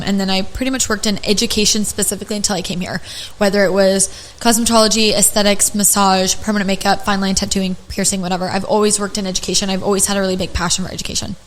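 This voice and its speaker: A young woman with a slightly raspy voice hosts a casual podcast, pitched in the middle. She rambles through a story, restarting sentences and dropping in lots of "like" and "um". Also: rough recording. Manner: chatty storyteller, many fillers and restarts. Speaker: podcast host.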